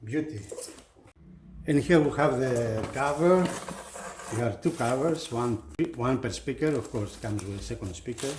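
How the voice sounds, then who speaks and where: A man with non-native English gives a casual product demonstration at a slow pace. He breaks his talk into short, halting phrases, and some background noise can be heard around his mid-pitched voice. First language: not English. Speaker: audio product reviewer and unboxing presenter.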